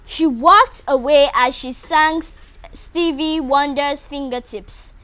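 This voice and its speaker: A woman reading a first-person story aloud, deliberate, with small stumbles over words. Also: high voice, pushed and bright, no room sound. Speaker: reader.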